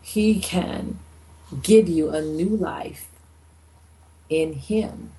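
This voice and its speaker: Woman, radio minister, dark mellow tone, slow delivery, devotional talk, conversational. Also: fairly low pitch, breathy edge, poor audio.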